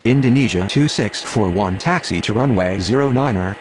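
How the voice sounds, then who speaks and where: A man's voice: normal speed, darkish tone, close to an everyday voice. A man playing a businesslike aviation radio operator in a game, using formulaic, procedural phraseology. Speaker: air traffic radio voice, pilot and controller. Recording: noisy audio with background rumble.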